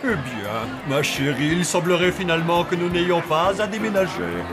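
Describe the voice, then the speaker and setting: An actor playing an excitable zombie father in a French cartoon dub, theatrical and formal in his phrasing. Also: sits high, moderate pace, loud music behind the voice. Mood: relieved.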